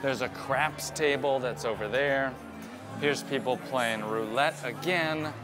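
A man's voice: medium pitch, measured pace, music in the background. A man in his thirties giving a chatty, upbeat walking tour of a cruise ship, talking to a camera held at arm's length. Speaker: cruise vlogger. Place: cruise ship interior and decks. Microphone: handheld camera at arm's length.

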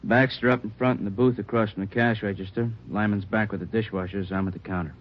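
Low-voiced, clipped actor as policeman. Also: fairly even intonation, fast, close to an everyday voice. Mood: matter-of-fact. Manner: clipped, reporting. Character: matter-of-fact male stakeout policeman. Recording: muffled old radio recording, slight noise.

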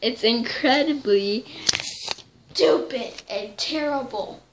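A young teen girl talks to her camera in a small bedroom at night, rambling from one thing to the next and fixing her own words mid-sentence. Her voice sits a little high and she takes her time. A faint hiss lies under the close device recording. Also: slow pace. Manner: rambling, chatty, self-correcting. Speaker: vlogger. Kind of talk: casual chat to camera. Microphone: device camera held close.

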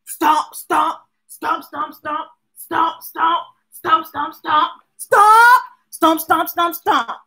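Story time host: American woman, bright voice, unhurried pace, expressive and rhythmic with kids' books. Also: very high-pitched. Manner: expressive, playful, chanting repeated words rhythmically. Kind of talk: animated picture book read-aloud with chatty asides. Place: small indoor room with shelves of books. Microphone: webcam or device at arm's length.

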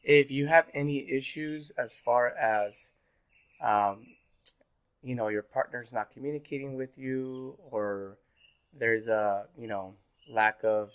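A man in his thirties, a little sick and hoarse, giving a pre-recorded online class as instructor, relaxed and full of fillers. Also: medium pitch, lo-fi sound. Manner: relaxed, filler-heavy, repeats "go ahead". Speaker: university instructor. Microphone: webcam in corner of screen recording.

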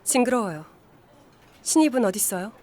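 A woman's voice: fairly high pitch, moderate pace, close to an everyday voice. An actress in an audio drama playing a curt, blunt female team leader in her thirties. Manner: blunt, deadpan. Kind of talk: Korean audio drama acting.

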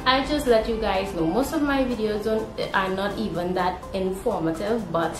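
A woman's voice: mid-range voice, moderate pace. A young woman with a Trinidadian accent, chatting informally to camera as a vlogger. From Trinidad, born in Guyana. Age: young adult. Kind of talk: casual talking-to-camera vlog. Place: indoor room at home. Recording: roomy echo, music behind. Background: background music.

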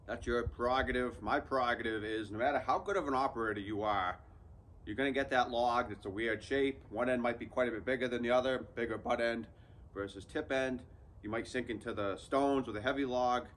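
A man's voice: medium pitch, steady tone, a little fast, fairly bright tone, a little hiss or hum. Plainspoken man explaining his sawmill setup. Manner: plainspoken, practical, talks to viewers directly. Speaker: channel host. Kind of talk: casual how-to vlog talk. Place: outdoors under an open sawmill shed in woods.

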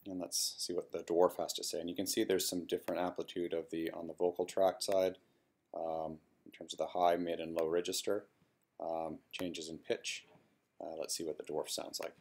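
Low-voiced man giving a casual demo. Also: level tone, normal speed, a little mellow. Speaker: software demo presenter. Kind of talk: casual screen-recorded walkthrough.

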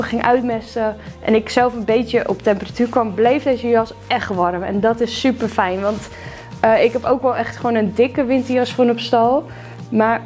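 A woman's voice: a little high, a little mellow. A young woman in her twenties chatting casually and upbeat at home, showing off winter riding clothes, with plenty of filler words. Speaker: equestrian shop presenter. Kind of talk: casual product lookbook talk. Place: indoors at home with plants behind.

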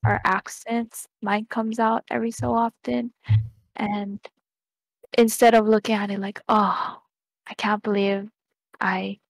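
A young woman speaking as a podcast guest over a headset from a small home room, earnest and measured as she thinks things through. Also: mid-range voice, creaky, dry recording. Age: young adult. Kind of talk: casual conversation.

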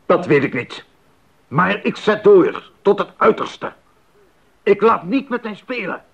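Husky, earnest man a devout musician. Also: high-pitched, very animated, slow pace, heavily acted. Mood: defiant. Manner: earnest, emphatic, formal diction. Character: Bach, earnest, devout, determined musician husband. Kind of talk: 1980s radio play acting. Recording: dull, muffled 1980s radio recording.

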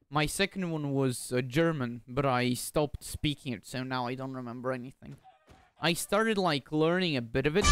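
A man's voice: mid-range voice, a bit bright, clean tone, no room sound. Chatty young man streaming. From Bulgaria. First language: Bulgarian. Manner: chatty, repeats words, confused asides. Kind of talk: casual gameplay commentary.

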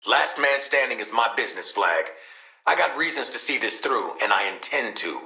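A man playing a cocky, gruff mercenary sharpshooter in a video game, with an American accent and a blunt, streetwise, wisecracking delivery. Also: medium pitch, lively intonation, normal speed, bright, forceful tone, dry recording, heavily acted. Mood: earnest, resolute. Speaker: voice actor.

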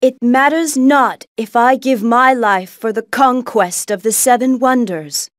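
An actress plays a zealous female knight villain in a video game. She declaims her ideals in a high, measured voice with fervent, formal conviction. The recording is dry. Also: no room sound, lightly acted. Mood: solemn, resolute.

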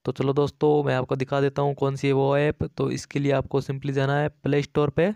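Flat-toned man giving a tutorial. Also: medium pitch, brisk, vocal fry, clean tone, dry recording. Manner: repetitive, instructional, addressing viewers as friends. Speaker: tech tutorial YouTube host. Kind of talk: casual step-by-step app tutorial.

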